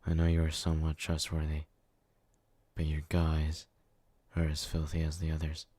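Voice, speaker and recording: A man plays a jealous, brutish, devoted lover in an ASMR romance roleplay. His voice is extremely low and dark, recorded close and dry. He murmurs with clingy, obsessive intensity. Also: completely flat delivery, moderate pace, dry recording.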